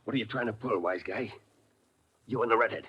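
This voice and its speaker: An actor playing an excitable, suspicious gangland henchman with a rough, husky voice in an old-time radio crime drama. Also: on the low side, brisk, highs cut off. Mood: hostile.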